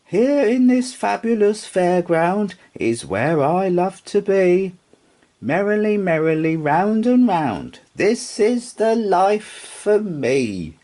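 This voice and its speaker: A man's voice: high-pitched, sing-song, slow pace, dark tone, pitched above the performer's own voice, full character voice. A storybook rocking horse acted out by a man, wistful and eager. The voice sits well above a normal male register and lilts in an earnest singsong, swooping freely from note to note. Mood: joyful.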